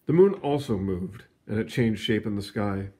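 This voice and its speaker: A man reading a children's science book aloud in an expressive storytelling manner, stretching words for emphasis, with casual asides. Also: lowish voice. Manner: animated, storytelling delivery with drawn-out emphasis. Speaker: read-aloud reader.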